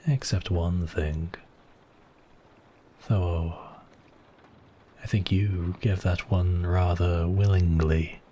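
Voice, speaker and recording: Casting: male actor for a possessive vampire count, very low-voiced and teasing, ASMR roleplay, faint ambience behind. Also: steady tone, warm tone, a little hiss or hum. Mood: seductive, musing. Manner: silky, teasing, drawn-out phrasing with sighs and pauses. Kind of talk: intimate ASMR roleplay acting.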